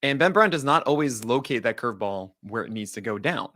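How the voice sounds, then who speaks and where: Bright-voiced man hosting a podcast. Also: mid-range voice, lively intonation, no room sound, clean studio sound. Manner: jokey, quick asides, trails off and restarts. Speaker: podcast host. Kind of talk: casual baseball analysis chat.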